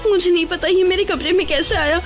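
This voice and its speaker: Very high-voiced, distressed young heiress. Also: female voice, steady tone, a little fast, faint music behind. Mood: tearful pleading. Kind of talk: Hindi audio drama acting.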